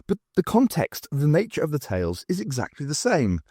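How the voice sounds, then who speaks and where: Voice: medium pitch, huge pitch swings, a little fast, warm tone, slightly rough, no room sound, studio quality, voice pushed up. A performer voicing a giggly, rambling old male academic who lectures pompously in an audiobook. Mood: giddy, insistent.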